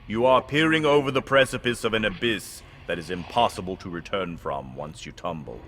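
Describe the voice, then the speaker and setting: An actor playing an ancient, commanding dark lord in an audio drama, speaking in a grandiose, formal and foreboding manner. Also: male voice, fairly low pitch, fairly quick, a little hiss or hum. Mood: ominous warning.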